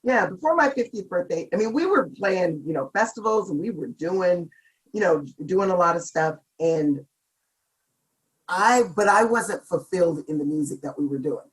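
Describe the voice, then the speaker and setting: Middle-aged woman, deep gravelly voice, relaxed and jokey interview guest. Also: moderate pace, rough recording. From Seattle, United States. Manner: chatty, laughs often, jokes about herself. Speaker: guest singer. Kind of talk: casual interview chat.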